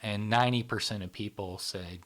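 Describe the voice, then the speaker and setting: A man's voice: on the low side, steady tone, moderate pace, fairly bright tone. A man in his fifties who hosts a casual psychology podcast chat, explaining things in small steps and checking in with "right?". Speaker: podcast host.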